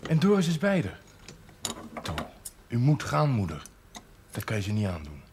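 An actor playing a gently insistent husband in a naturalistic 1970s TV drama. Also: male voice, medium pitch, huge pitch swings, moderate pace, background noise. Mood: coaxing. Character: gently insistent husband coaxing his wife.

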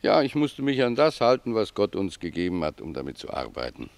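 A man's voice: on the low side, sing-song, gravelly, dry recording. A man playing a humble, pious old gardener in a German radio drama, speaking reflectively and with deference to his superior. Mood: pensive, devout. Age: older. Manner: reflective, deferential, unhurried phrasing.

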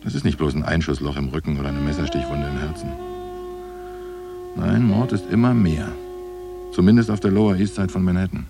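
Deep-voiced, world-weary male detective. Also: fairly even intonation, brisk, creaky, long pauses, over music. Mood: weary, reflective. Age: middle-aged. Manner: reflective, plain-spoken, wry. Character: seasoned, world-weary, tolerant New York police detective. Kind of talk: radio play narration and dialogue.

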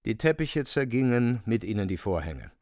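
A man's voice: on the low side, big pitch swings, moderate pace, darkish tone, no echo, clean studio sound. A man narrating a radio drama in a scripted, theatrical storytelling style.

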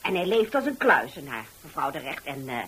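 A woman's voice: sits low, very animated, a little fast, a bit bright, breathy edge, exaggerated voice. A woman playing a gossipy neighbour in a radio drama, confiding and slightly hesitant.

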